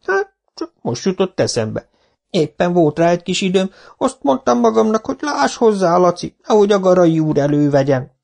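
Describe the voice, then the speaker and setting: A sly, high-voiced old villager. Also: male voice, very animated, vocal fry, a little mellow, full character voice. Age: older. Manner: feigned innocence, teasing. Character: old grumbling village man, sly and mocking.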